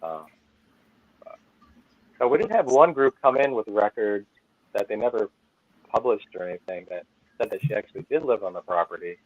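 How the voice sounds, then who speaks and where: Man, Maine accent, self-deprecating guest on a video call, lower voice, muffled dry sound. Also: normal speed, long pauses, no echo. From Maine, USA. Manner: rambling, full of "like" fillers, laughs at himself. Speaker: podcast guest. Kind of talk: casual chat.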